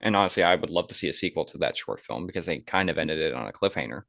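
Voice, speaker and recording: Low-voiced man chatting on a podcast. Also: expressive, very fast, vocal fry, a bit bright, no echo, studio quality. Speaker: podcast host. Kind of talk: casual chat about movies.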